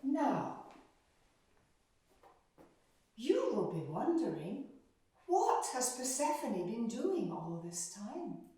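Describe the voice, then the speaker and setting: A woman telling a story for children in a deliberate, expressive way, with dramatic pauses and repetition. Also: fairly high pitch. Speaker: storyteller, teacher. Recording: echoey room, far from mic.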